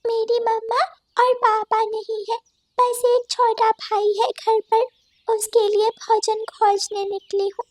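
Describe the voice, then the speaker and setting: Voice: very high-pitched, little pitch movement, slow pace, no echo. A performer voicing a little orphan girl bird in a cartoon, sad, plaintive and pleading. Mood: earnest.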